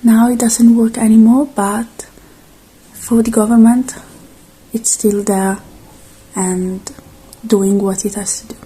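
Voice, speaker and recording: A woman doing a soft-spoken ASMR roleplay, slipping in and out of whispers, with a reassuring, halting delivery in short phrases. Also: fairly high pitch, level tone, husky, rough recording.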